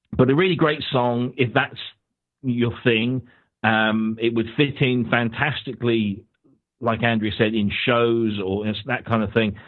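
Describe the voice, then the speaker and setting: A man from the UK, a guest panelist giving a casual, rambling music review full of fillers and restarts. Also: medium pitch. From United Kingdom. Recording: tinny phone-like line.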